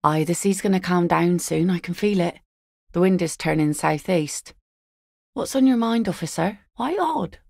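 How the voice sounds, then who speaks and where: A weary, sardonic net mender who has heard every joke. Her low, gravelly voice stays dry and teasing. The close studio recording has no room sound at all. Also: animated, normal speed, darkish tone, dry recording. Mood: wry. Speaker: voice actress. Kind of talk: video game voice lines.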